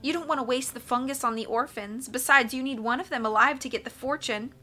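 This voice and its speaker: A woman's voice: sits high, brisk, bright, forceful tone, soft background music. A woman voicing a vain, glamorous, greedy villainess with a purring, self-assured storybook delivery. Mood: scheming.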